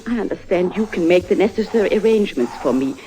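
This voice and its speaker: An actress playing an anxious wife desperate to flee, earnest and hesitant, in an old-time radio drama. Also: female voice, medium pitch, animated, fast talker, mellow, natural voice. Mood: anxious pleading.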